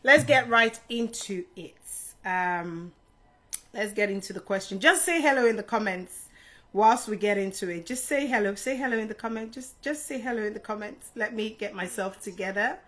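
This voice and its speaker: Casting: middle-aged Nigerian-born woman, livestream host, mid-pitched voice, informal and chatty, speaking straight to viewers. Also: moderate pace, fairly bright tone. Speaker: live stream host, HR career adviser. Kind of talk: casual live stream Q&A chat to viewers.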